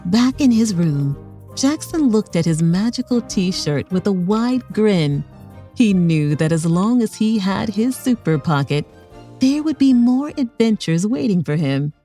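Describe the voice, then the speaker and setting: Animated woman narrating a children's story. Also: medium pitch, measured pace, faint music behind. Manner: expressive read-aloud for young children.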